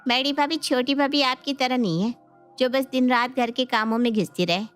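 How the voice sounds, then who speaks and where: A woman voicing a sharp-witted, watchful young sister-in-law in a family storybook, talking in a knowing, pointed way. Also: high-pitched, moderate pace, smooth, natural voice. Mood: knowing, gossipy. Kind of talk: dramatised Hindi family storybook. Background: music.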